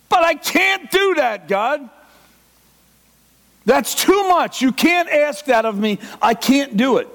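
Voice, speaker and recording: A middle-aged man preaching a sermon with passion, acting out the inner voice of a struggling believer. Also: very high voice. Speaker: pastor.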